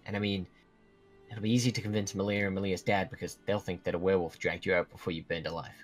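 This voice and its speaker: A man's voice: sits low, flat delivery, fast, faint music behind, natural voice. A man playing an earnest, guilt-ridden young werewolf student, talking in a hesitant, conversational way in a game roleplay. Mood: serious, reassuring. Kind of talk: casual scripted Minecraft roleplay.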